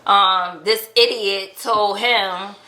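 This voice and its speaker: A woman tells a casual story at an unhurried pace. Her voice is bright and forward, and she acts out the dialogue she quotes. The sound is echoey, as in an untreated room. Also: medium pitch, steady tone. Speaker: vlog host telling relationship stories.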